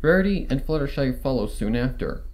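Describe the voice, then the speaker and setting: A man's voice: medium pitch, expressive, brisk, darkish tone, rough recording. A man reading a scripted story as a dramatic narrator, acting out the dialogue as he goes. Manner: acts out character dialogue. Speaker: fan fiction narrator. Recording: music behind the voice. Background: music.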